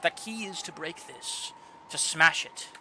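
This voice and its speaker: A man reading aloud the quiet, solemn inner monologue of a brooding young male servant, with a steady hiss behind. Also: a little high, unhurried, creaky, full character voice, poor audio. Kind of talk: reading a visual novel aloud.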